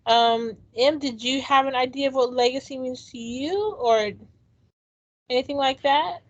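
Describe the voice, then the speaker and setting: A woman co-hosting a casual chat, earnest and reflective, often pausing with um. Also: high-pitched, lo-fi sound. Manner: earnest, reflective, many ums. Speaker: podcast co-host.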